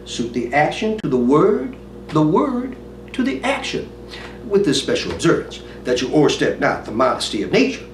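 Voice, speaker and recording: A man playing an earnest, brooding prince, reciting a Shakespearean monologue in a declamatory way with weighty pauses. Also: on the high side, wildly swooping pitch, soft background music, voice pushed down. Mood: earnest, impassioned. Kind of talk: dramatic Shakespearean stage monologue. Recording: roomy, distant mic, faint noise.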